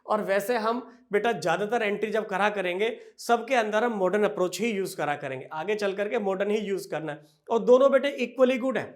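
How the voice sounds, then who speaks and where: A man teaching accounting in a classroom lecture style. He addresses the students fondly, poses questions and then answers them himself, and slows right down when he dictates entries. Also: high-pitched. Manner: slow, drawn-out dictation of entries.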